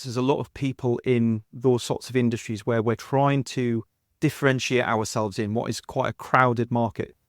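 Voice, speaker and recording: A man in his thirties hosts a podcast interview, his voice at a middle pitch. He sounds eager and full of praise, recorded on a dry, close mic. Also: no echo. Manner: enthusiastic, complimentary interviewer. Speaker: podcast host.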